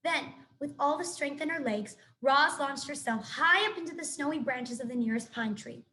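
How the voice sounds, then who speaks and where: A woman teacher reads a children's novel aloud, telling the story with expression. Her voice is fairly high. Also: moderate pace, sharp tone, slight background noise. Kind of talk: children's book read-aloud.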